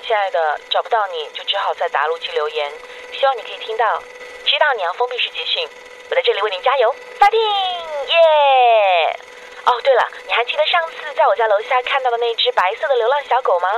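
A woman's voice: on the high side, expressive, quick pace, muffled recording, slight background noise, lightly acted. A woman acting a tender, devoted young girlfriend leaving phone messages in a radio drama. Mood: cheering on, then playful, fond. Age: young adult. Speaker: actress in an audio drama.

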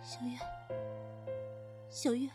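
A woman voice actor playing a gentle young woman haunted by grief in an audio drama. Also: slow pace, lightly acted. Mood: sorrowful longing. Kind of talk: Chinese audio drama acting. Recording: guitar music behind.

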